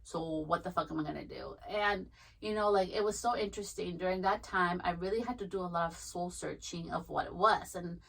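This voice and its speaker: A woman's voice: lowish voice, a little fast, creaky, a bit bright, recorded from a distance, low-quality recording. A woman hosting a podcast, telling her own life story in a frank, chatty way, swearing freely and filling her talk with "you know" and "like". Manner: frank and profane, chatty with many fillers. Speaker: podcast host. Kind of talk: candid personal storytelling.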